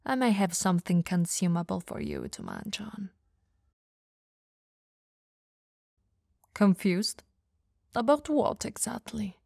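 A woman playing a teasing, dominant but caring vampire in an ASMR audio roleplay, breathy and gravelly, often dropping to whispers, coaxing and playfully condescending. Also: mid-range voice, lively intonation, normal speed, a little mellow, dry recording, clean studio sound. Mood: coaxing, soothing.

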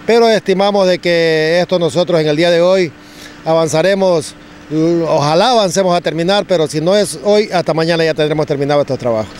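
A middle-aged man with an Ecuadorian accent, a public works director explaining repairs in an outdoor interview, halting with many fillers and restarts. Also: a little high, darkish tone, a little hiss or hum. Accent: Ecuadorian Spanish. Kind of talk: on-site news interview. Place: outdoors at a street excavation site. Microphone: handheld reporter mics held close.

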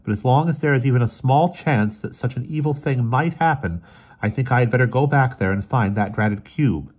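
A man's voice: mid-range voice, a little fast, a little mellow, husky, pitched above the performer's own voice, close to an everyday voice. A man voicing a weary, grave older brother who speaks with theatrical, exasperated emphasis, as in an audiobook. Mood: weary, resolute.

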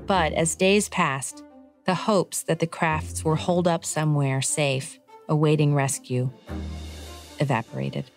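Low-pitched woman telling a scripted story. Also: steady tone, measured pace, gravelly, soft background music. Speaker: narrator. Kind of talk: scripted storytelling narration for children.

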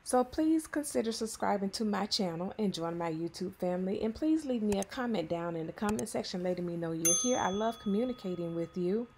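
A woman's voice: mid-range voice, animated, a little fast, few pauses, warm tone, a little hiss or hum. A woman in her fifties narrating a cleaning vlog in a chatty, affectionate voice-over, often calling her viewers y'all. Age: 50s. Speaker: cleaning vlogger.

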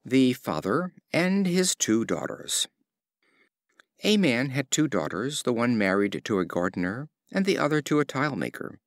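A man narrating fables as an audiobook reader, in an expressive storytelling style. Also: medium pitch, moderate pace, dry recording, clean studio sound.

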